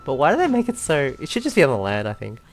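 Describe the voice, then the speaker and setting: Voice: medium pitch, wildly swooping pitch, racing, faint music behind. A gamer giving casual commentary while playing, talking to themselves and viewers with frequent exclamations. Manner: talks to self and viewers, exclaims, giggles often. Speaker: let's play video host.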